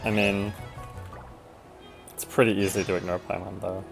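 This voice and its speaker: A man's voice: deep voice, flat delivery, normal speed, gravelly. A man giving casual, wry, offhand commentary as he streams a video game. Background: game music.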